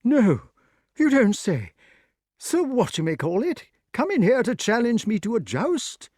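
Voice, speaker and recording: Audiobook role: amiable, muddle-headed elderly knight king, high, blustering and very animated. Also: a little slow, no room sound, studio quality, full character voice. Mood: flustered. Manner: flustered, exclamatory, blustering upper-class chatter. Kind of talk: audiobook character voice.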